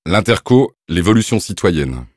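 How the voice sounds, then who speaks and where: A man's voice: sits low, big pitch swings, measured pace, dry recording, clean studio sound. A man announcing section titles in a punchy, slogan-like way.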